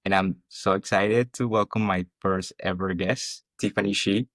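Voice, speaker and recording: Man, podcast host, very low voice, dry close sound, enthusiastic, conversational. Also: steady tone, normal speed, a bit bright, no room sound. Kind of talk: conversational interview.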